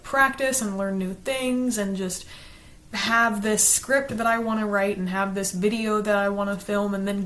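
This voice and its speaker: A woman with a South Carolina Southern accent talks straight to her viewers in a casual, earnest way, mixing loose chat with lines read from a script. Her voice sits at an ordinary middle pitch. She holds back her natural quick pace to say each word clearly. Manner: quick-talking, chatty and earnest, slows down to enunciate. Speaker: channel host, book reviewer. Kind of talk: casual talk to camera, partly read from a script.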